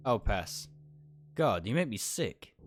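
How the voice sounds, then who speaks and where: A man's voice: fairly low pitch, big pitch swings, slow pace. A man voicing an uneasy, wary young male protagonist of a visual novel, bewildered and dry. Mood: disgusted. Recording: music behind the voice.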